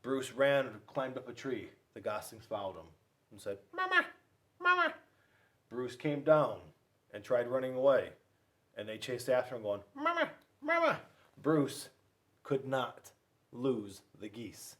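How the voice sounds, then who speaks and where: A man reading a children's storybook aloud, with dramatic pauses and emphasis. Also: mid-range voice, a little mellow, far from the mic. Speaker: school resource police officer reading to children.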